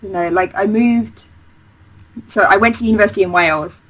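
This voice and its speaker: A woman's voice: medium pitch, a little fast, low-quality recording. A woman, telling her career story in a chatty, rambling way, full of fillers, restarts and enthusiasm. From Essex, England. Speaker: game designer guest. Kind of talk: casual interview chat.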